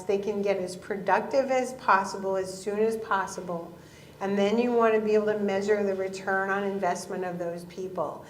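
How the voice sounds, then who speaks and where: A woman speaking as a panel guest and talent leader, chatty and quick to laugh at herself. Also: medium pitch, distant mic, a little hiss or hum. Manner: chatty, laughing, self-deprecating. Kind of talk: live panel talk. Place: event hall with audience. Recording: echoey hall.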